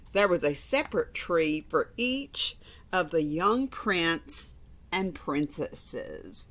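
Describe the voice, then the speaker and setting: A woman's voice: fairly low pitch, sharp tone. A woman reading a storybook aloud to children, informal and conversational, with chatty asides and repeated phrases. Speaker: storybook reader.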